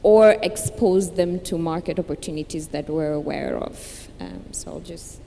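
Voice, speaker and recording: A woman's voice: normal speed, gravelly, mellow. A woman introducing her work on a panel, friendly, with many fillers and restarts. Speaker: panelist, founder of an organization. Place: conference hall with audience. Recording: reverberant hall, faint rumble.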